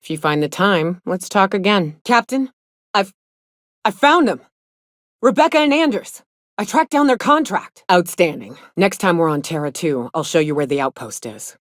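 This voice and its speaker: A gruff, guarded monster hunter in her thirties, played by a woman for a video game. Her voice sits low for a woman, and she talks in a blunt, folksy drawl full of rough slang. The recording is very dry, like a studio booth. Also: animated, normal speed, dry recording, lightly acted. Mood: guarded, earnest, then urgent. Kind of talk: video game character dialogue.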